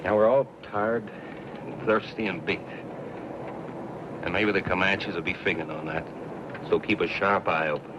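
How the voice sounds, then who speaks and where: An actor playing a steady, commanding wagon-party leader in a radio western. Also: male voice, low voice, moderate pace, long pauses, noisy background, lightly acted. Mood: commanding, watchful. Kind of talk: old-time radio western acting. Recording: dull old radio recording, music behind.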